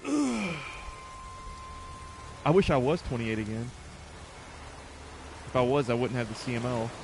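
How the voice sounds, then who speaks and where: A man's voice: medium pitch, big pitch swings, measured pace, background noise. A man in his mid-thirties chatting casually with viewers as a video game livestreamer, in an informal, conversational way. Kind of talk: casual chat with stream viewers.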